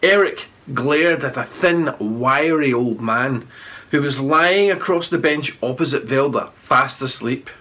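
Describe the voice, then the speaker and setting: A middle-aged man narrating a children's storybook aloud in an animated read-aloud style. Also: medium pitch, unhurried, slight background noise. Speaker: children's author reading aloud.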